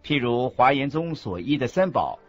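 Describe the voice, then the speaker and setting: Animated male narrator, muffled audio. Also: medium pitch, normal speed. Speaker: narrator of a Buddhist teaching radio program. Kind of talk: scripted didactic narration in a radio program. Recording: muffled lo-fi audio with music underneath. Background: background music.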